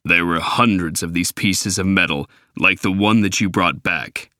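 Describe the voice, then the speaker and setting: A man's voice: low-pitched, measured pace, pushed and bright, no room sound, clean studio sound, voice pushed down. Man doing a grave giant robot leader. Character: huge angry male robot leader, grave.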